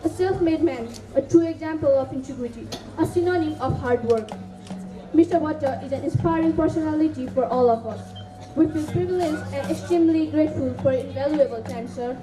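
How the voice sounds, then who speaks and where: A woman's voice: sits high, brisk. A young woman hosting a stage event, giving a formal, ceremonious scripted welcome speech. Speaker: event host. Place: school stage in a hall, audience behind. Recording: echoey hall, distant mic, crowd noise.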